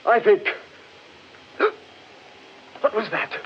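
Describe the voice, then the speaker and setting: An actor playing an uneasy gentleman explorer in a 1940s radio horror drama, speaking in theatrical, halting phrases. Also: high-pitched, big pitch swings, exaggerated voice. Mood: frightened, urgent. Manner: theatrical, halting, old-fashioned exclamations. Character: Crane, uneasy gentleman explorer in haunted forest. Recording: muffled, noisy old 1940s radio recording.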